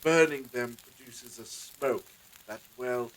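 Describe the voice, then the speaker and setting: A man's voice: medium pitch, takes it slowly, close to an everyday voice, rough recording. An actor playing an anxious, courteous village husband and father in a period audio drama, with hesitant, formal old-fashioned phrasing. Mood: uneasy, polite.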